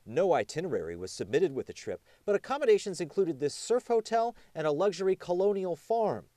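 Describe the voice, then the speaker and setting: A man reading scripted television news as a reporter and anchor, with a punchy, emphatic delivery and dramatic pauses. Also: sits high, sing-song, warm tone, dry recording, studio quality.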